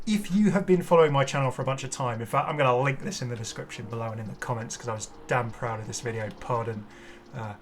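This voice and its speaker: A man hosting a casual game showcase, talking in an enthusiastic, rambling way with halting explanations. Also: medium pitch, a little hiss or hum. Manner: enthusiastic, effusive. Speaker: gaming video creator and host. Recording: music playing behind the voice. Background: clear background music.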